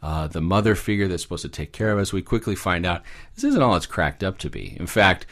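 Low-pitched man explaining stories conversationally. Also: big pitch swings, fairly quick, a bit bright. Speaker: guest expert, psychology professor. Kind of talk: conversational explanation of fairy tales.

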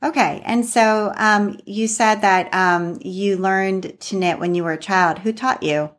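A woman's voice: medium pitch, steady tone, unhurried. A woman hosting a casual interview, asking questions and responding in an informal, affirming way.

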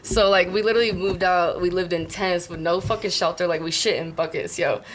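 A young woman from Southern Illinois, a musician talking casually in a rambling, filler-heavy, excitable way. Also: lowish voice, fairly quick, a little hiss or hum, rough recording. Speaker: guest musician. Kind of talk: casual interview chat.

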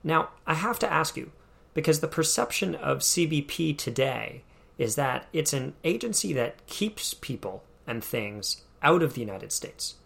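A man hosting a podcast, asking measured, reflective interview questions. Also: fairly high pitch, sing-song, creaky, fairly bright tone.

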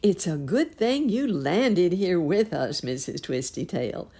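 A woman's voice: on the low side, animated, slow pace, dark tone, breathy edge, voice pushed up, full character voice. Fussy storybook housekeeper-nurse, read by a woman, fretting, sighing, lifted voice. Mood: calling out. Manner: fretful, sighing.

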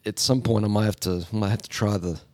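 A man hosting a podcast, chatting casually in a crude, joking way and telling animated stories. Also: lowish voice, quick pace. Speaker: podcast host. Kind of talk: casual chat.

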